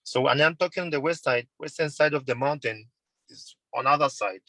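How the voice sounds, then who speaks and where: A man's voice: mid-range voice, normal speed, bright, forceful tone, no echo. Earnest man from Tanzania, dry sound. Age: 30s. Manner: earnest, repeats and corrects himself, simple non-native phrasing. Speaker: mountain guide and tour company owner. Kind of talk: webinar interview answers. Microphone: video call.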